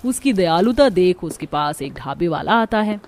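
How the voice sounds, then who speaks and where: A woman's voice: fairly low pitch, lively intonation, moderate pace, a little mellow, slight background noise. A woman narrating a scripted cartoon family story.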